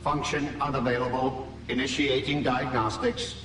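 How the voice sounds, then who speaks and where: A man's voice: medium pitch, flat delivery, moderate pace, background noise, lightly acted. Echoey, impassive spaceship computer played by a man. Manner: formal, clipped announcing. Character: impassive male spaceship computer announcing malfunctions. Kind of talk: video game system announcements. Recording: echoey, distant ship announcement over music.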